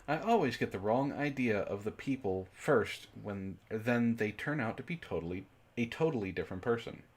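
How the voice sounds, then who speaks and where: A man with a husky voice reading a story dramatically and breaking in with sarcastic, exasperated commentary. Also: mid-range voice, animated, normal speed. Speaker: book club reader and commentator.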